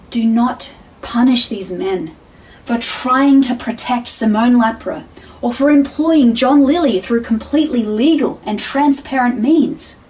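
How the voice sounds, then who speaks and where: An actress playing a composed, earnest defense attorney, giving a measured, solemn opening statement to a jury in a formal audio drama. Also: female voice, a little high, a little mellow, lightly acted. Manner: measured, solemn, rhetorical repetition. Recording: distant microphone.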